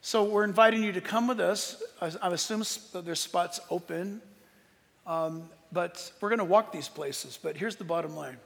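A man giving an informal, earnest preaching talk to an audience as a Bible teacher, emphatic, with dramatic pauses. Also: sits high, vocal fry, a bit bright. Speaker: Bible teacher and tour leader. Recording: long hall reverb.

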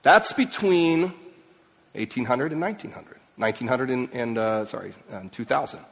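A man raised in Mexico and Ecuador, giving an emphatic speech to an audience, swinging between loud and quiet. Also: mid-range voice, expressive, moderate pace, gravelly. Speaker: speaker. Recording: long hall reverb.

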